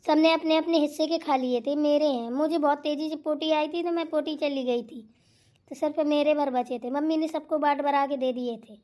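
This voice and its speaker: Woman acting high-pitched, quarrelsome young sister-in-law. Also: steady tone, fairly quick, a little mellow, close to an everyday voice. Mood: suspicious. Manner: insistent, complaining. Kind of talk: family comedy skit acting.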